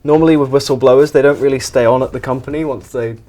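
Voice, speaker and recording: A man hosting a painting show in a deadpan, mock documentary style, talking in a soft, relaxing low voice while rambling evasively and defensively. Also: quick pace.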